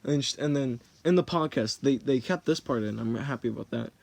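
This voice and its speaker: A man's voice: mid-range voice, brisk, vocal fry, a little mellow, dry recording. A teenage boy rambling through a story in a casual, jokey way, often stopping to correct himself. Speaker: vlogger.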